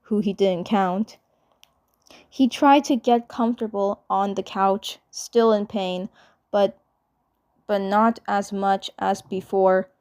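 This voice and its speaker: A woman reading fan fiction narration aloud, haltingly, often repeating phrases as she goes. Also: medium pitch, steady tone, a little mellow, clear, resonant tone. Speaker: fan dub storyteller.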